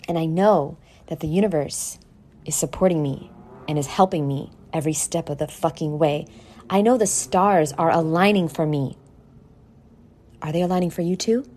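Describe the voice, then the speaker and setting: A woman hosting an informal motivational podcast, brash and sweary, talking straight at the listener with dramatic, drawn-out emphasis. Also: sits low, mellow, slight background noise. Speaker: podcast host. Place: at home in a condo.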